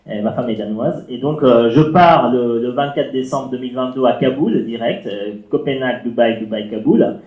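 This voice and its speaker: Halting Afghan-born man in an echoey hall. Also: mid-range voice. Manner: halting, many fillers and restarts, non-native French phrasing. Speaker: journalist speaking on a panel. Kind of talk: personal testimony at a round table. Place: library auditorium. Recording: echoey hall, dull lo-fi sound.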